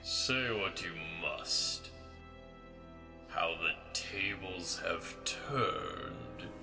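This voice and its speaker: Man doing a ghost king, low voice, very slow delivery, cold, taunting. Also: lively intonation, pushed and bright, faint music behind, full character voice. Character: ghost of a menacing murdered male king. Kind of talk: audio drama acting.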